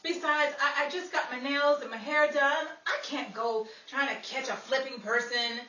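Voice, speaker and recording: A woman's voice: high voice, fairly even intonation, moderate pace, sharp tone. A woman playing a sardonic, vain 1930s New York radio performer in a dry, wisecracking comedy style. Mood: fussy. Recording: roomy, distant, noisy with background crowd.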